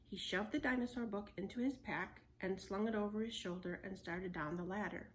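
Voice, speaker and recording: A woman's voice: medium pitch, a little fast, a little hiss or hum, low-quality recording. A woman storyteller reading a children's book aloud.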